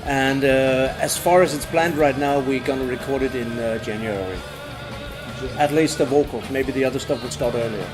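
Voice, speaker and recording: A man's voice: medium pitch, normal speed, music in the background. A man with a German accent, a band's singer, talking in a casual interview with many fillers and restarts. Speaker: band singer.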